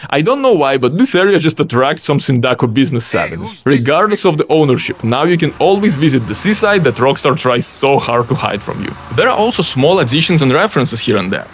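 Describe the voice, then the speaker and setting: Lively non-native English-speaking man narrating. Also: medium pitch, a little fast, hardly any pauses, a little hiss or hum. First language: not English. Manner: playful, wry asides. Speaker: video creator and game modder. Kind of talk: scripted voice-over narration of a game mod showcase.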